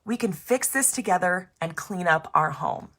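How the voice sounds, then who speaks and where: A woman's voice: lowish voice, expressive, normal speed, a bit bright. A woman voicing loyal storybook friends of a young heroine, earnest and encouraging, in sing-song rhyme.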